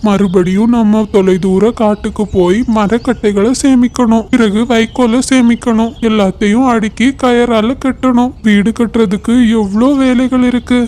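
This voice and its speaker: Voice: medium pitch, fairly even intonation, normal speed, hardly any pauses, darkish tone, close to an everyday voice. A performer voicing a worried, scheming crow in a children's cartoon story. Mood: weary.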